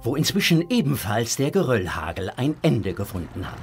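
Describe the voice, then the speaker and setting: Expressive male storyteller, lively pitch. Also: normal speed, soft background music. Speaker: narrator. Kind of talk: dramatic scripted narration for a children's audio story.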